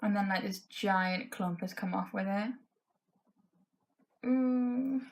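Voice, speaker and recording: A woman's voice: mid-range voice, slow. A young woman in her twenties with a voice that is going, chatting casually through a makeup tutorial in her bedroom, full of "um" and "like". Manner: chatty, frank, many fillers like "um" and "like". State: losing her voice. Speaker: beauty vlogger. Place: bedroom, indoors.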